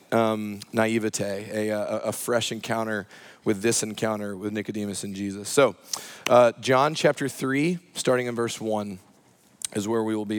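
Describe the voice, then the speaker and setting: A man with a hoarse voice, a pastor giving a sermon in a chatty, self-deprecating way, full of ums and uhs. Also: lowish voice, no room sound. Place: church service.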